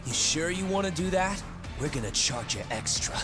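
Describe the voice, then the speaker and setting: A man's voice: on the high side, animated, moderate pace, music in the background, lightly acted. An actor playing a brash young male delinquent henchman in an English game dub, slangy and taunting. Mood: threatening. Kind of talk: English video game dub cutscene acting.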